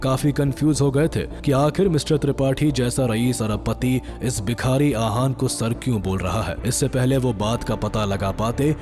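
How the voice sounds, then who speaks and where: A man's voice: mid-range voice, steady tone, normal speed. A man narrating a scripted audio drama story, with music playing behind him. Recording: loud music bed behind voice.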